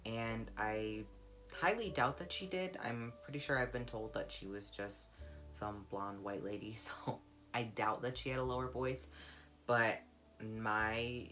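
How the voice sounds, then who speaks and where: A young woman with a deep, warm voice, speaking candidly and reflectively in a personal vlog. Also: measured pace. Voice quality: deep, low voice; warm, comforting. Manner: candid, reflective, soft-spoken. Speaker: vlogger. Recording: guitar music playing underneath. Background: guitar music.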